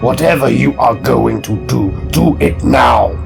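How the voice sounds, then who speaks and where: A man playing a menacing, suspicious alien warlord in an audio drama, gravelly and sternly commanding. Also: mid-range voice, measured pace, over music.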